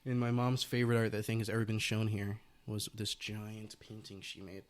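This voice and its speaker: A man in his twenties hosting a podcast, chatting casually and rambling through stories in quick bursts. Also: fairly low pitch, flat delivery. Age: 20s. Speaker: podcast host. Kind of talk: casual interview chat.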